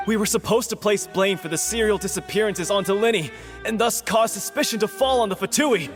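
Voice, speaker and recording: A man plays a frightened guard in a game drama. His high voice stammers and hurries in a pleading way, with music clearly behind it. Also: brisk, natural voice. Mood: panicked. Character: nervous, cornered male guard confessing. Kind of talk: video game voice acting.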